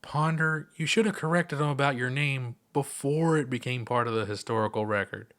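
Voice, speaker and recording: A man's voice: mid-range voice, moderate pace, a bit bright, voice pushed up, natural voice. A man plays a self-conscious young woman in an audio story. She is prickly and unsure of herself, speaking in hesitant, muttered asides. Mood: exasperated.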